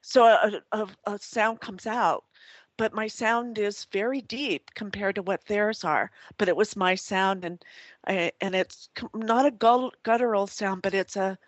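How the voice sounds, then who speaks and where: A woman guest tells of a personal spiritual experience, rambling and restarting as she goes. Her voice sits at an average pitch, and the sound is dry and close. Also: unhurried, no echo. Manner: rambling, with many restarts and soft laughs.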